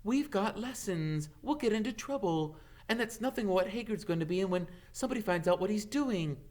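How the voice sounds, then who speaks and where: A man's voice: sits high, lively intonation, a little fast, a little mellow, pitched above the performer's own voice. A man voicing an anxious, bossy schoolgirl in a storybook, sensible and worried, with a prim warning manner. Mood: worried, scolding.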